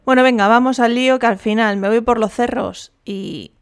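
A woman's voice: on the high side, fairly even intonation, normal speed, smooth. A woman hosting a casual solo podcast, chatty and rambling with jokey asides. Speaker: podcast host.

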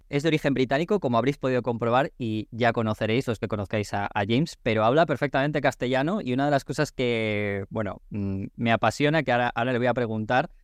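A man's voice: medium pitch, no room sound, studio quality. A man from hosting a podcast interview, chatty and reassuring, rephrasing his questions as he goes. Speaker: podcast host.

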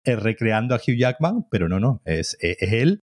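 A man's voice: lowish voice, sing-song, normal speed. A man co-hosting a podcast, chatting casually about a cartoon and hesitating often. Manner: hesitant, many fillers and restarts, agreeing often. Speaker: podcast co-host. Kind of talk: casual review chat.

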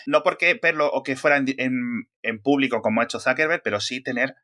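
Bright-voiced man chatting. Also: medium pitch, fast talker. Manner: animated, jokey asides, many fillers. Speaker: podcast host. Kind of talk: casual tech podcast chat.

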